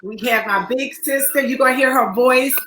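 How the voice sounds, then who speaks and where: A woman with a raspy voice, pitched a little high, hosts an online talk show. She sounds bright and forceful as she greets her viewers by name and laughs along. The room around her adds a noticeable echo. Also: normal speed, soft background music. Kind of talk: welcoming livestream chat.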